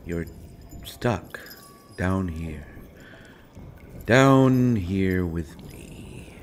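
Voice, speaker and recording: Man playing a very deep, slow, sardonic dungeon villain. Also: expressive, slight background noise, exaggerated voice. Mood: grim taunting. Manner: theatrical, mocking, drawn-out deliberate phrasing with pauses. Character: sardonic imprisoned male villain taunting a captive princess. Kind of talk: scripted audio roleplay acting.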